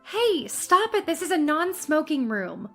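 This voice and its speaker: A woman's voice: high voice, big pitch swings, unhurried, pushed and bright, faint music behind, voice pushed up, full character voice. A woman voicing an exasperated, indignant mother and wife in a dramatized story, speaking emphatically. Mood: angry, scolding.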